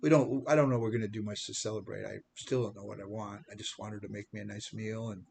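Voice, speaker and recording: A man's voice: on the low side, steady tone, fast, vocal fry, darkish tone, a little hiss or hum. Rambling seventy-year-old American man. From United States. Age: 70s. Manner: rambling, repeats words and restarts, self-deprecating. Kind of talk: personal testimony monologue.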